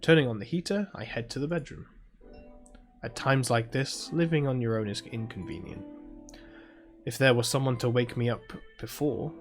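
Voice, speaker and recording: A man's voice: medium pitch, moderate pace, faint music behind, lightly acted. A British man reading aloud as a sleepy, proud teenage girl mage, in a drowsy, grumbling first-person voice. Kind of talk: reading a visual novel aloud.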